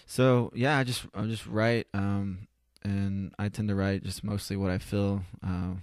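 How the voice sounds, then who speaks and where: A man in his twenties answering casually as a podcast guest, halting and self-effacing, often repeating his words. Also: fairly low pitch, level tone, darkish tone, smooth, dry recording, studio quality. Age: 20s. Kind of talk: casual, unscripted interview answers. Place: converted garage home studio.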